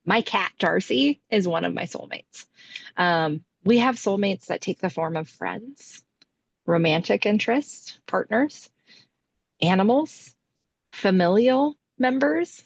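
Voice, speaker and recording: A woman co-hosting a podcast, explaining things casually with emphatic, deliberate lists. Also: mid-range voice, vocal fry, husky, no echo, lo-fi sound. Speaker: podcast co-host.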